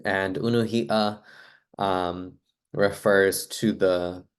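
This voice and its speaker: A young man from Hawaii, a hula teacher introducing himself in a soft-spoken way, with frequent ums and pauses. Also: low-pitched, steady tone, clear, resonant tone. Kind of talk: personal self-introduction.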